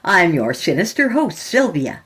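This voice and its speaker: A woman's voice: on the low side, big pitch swings, measured pace, a bit bright. A woman hosting a horror fiction podcast, reading scripted introductions and story narration.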